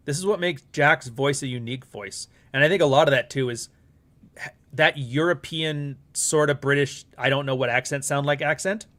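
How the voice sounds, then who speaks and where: A middle-aged Canadian man hosts a live stream in loose, rambling chat. He talks bluntly and swears freely, with a Canadian accent and an ordinary mid-pitched voice. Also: a bit bright. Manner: blunt and profane, rambling asides. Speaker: livestream host.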